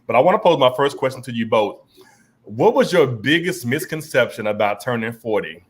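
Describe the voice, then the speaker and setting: A man's voice: mid-range voice, expressive, brisk. A man in his forties hosting a casual conversation, laid-back and slangy, often repeating words as he talks. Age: 40s. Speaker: podcast host.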